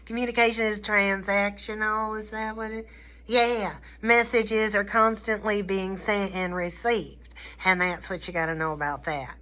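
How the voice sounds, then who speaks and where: A woman's voice: mid-range voice, fairly even intonation, unhurried, a bit bright. A woman giving an informal, folksy comedic lecture, playful and colloquial, often checking in with her listeners. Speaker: lecturer teaching a communication class.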